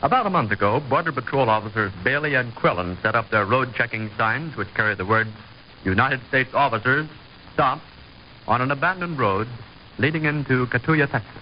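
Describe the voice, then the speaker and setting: A man's voice: mid-range voice, fairly quick. A man narrating a scripted vintage radio series in a formal, declamatory announcer manner. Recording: dull, hissy vintage radio recording.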